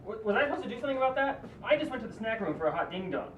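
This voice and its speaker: Clueless whiny male lawyer , acted by a man: high, very animated pitch, tinny echoey line. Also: racing, over a noisy crowd. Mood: oblivious. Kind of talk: amateur script table read. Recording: tinny, echoey, distant mic with noise.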